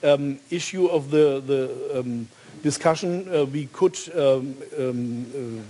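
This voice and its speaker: Halting German man on a panel. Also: medium pitch, dark tone. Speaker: panel speaker, politician. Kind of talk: panel discussion, speaking off the cuff. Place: large hall stage at a symposium.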